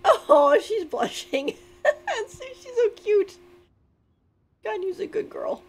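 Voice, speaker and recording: A woman's voice: extremely high pitch, big pitch swings, very slow, a little mellow. A woman giving casual live commentary as a game streamer, with giggly, effusive reactions. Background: game music.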